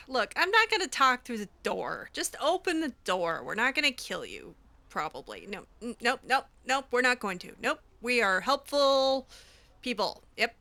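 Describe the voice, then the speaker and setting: A woman improvises in character during a fantasy game playthrough. Her voice is bright and fairly high, her delivery unhurried, dry and sardonic, with music behind her. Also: big pitch swings, gravelly. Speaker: player role-playing a game heroine. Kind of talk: improvised in-character gameplay dialogue.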